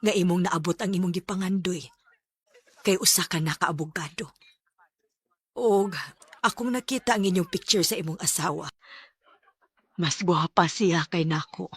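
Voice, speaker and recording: Husky, frank elderly Cebuano widow. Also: female voice, fairly low pitch, moderate pace, long pauses, no room sound. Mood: fond, wistful. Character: Helen, frank, wryly affectionate elderly widow, old sweetheart. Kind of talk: Bisaya radio drama acting.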